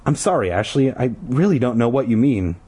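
A man's voice: mid-range voice, very animated, fairly quick, warm tone, breathy edge. A man voicing a confused, apologetic young boy from the 1930s in a storybook, protesting and stammering. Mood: baffled.

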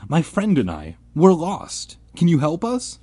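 A man's voice: medium pitch, huge pitch swings, unhurried, creaky, mellow, slightly rough, pitched above the performer's own voice, full character voice. Man voicing a frightened, pleading lost kid. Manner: pleading, raised and insistent. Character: frightened, lost child narrator and friend, pleading.

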